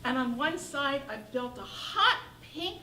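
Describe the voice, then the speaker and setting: 60s woman doing a funny stage monologue, high lively voice, echoey hall, mic far off. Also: takes it slowly. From Northern California. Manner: wry, animated comic storytelling. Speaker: solo performer. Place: theater stage with live audience. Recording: echoey room from far off, noisy.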